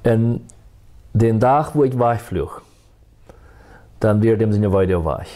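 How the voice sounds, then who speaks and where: Quiet reflective musician guy, kinda low and warm, talking about faith and his past. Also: takes it slowly. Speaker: musician guest. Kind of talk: telling his life story.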